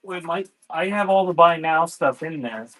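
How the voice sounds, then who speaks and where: A man's voice: a little high, a bit bright, no room sound, poor audio. Offhand man selling cassettes live. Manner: offhand, halting, thanks viewers by name. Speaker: live online seller. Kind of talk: casual live-stream selling.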